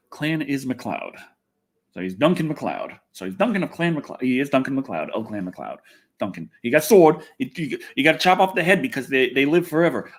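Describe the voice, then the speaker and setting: A man hosting a casual livestream, chatting to viewers in a loose, rambling way with some swearing. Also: mid-range voice. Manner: rambling, loose, expletive-laden. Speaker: livestream host.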